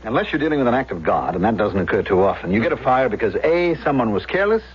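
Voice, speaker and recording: An actor playing a self-assured small-town fire chief with a raspy voice and a measured, officious manner in a radio drama. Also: male voice, medium pitch, very animated. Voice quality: husky, raspy. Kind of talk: 1970s radio drama acting. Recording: muffled vintage radio broadcast.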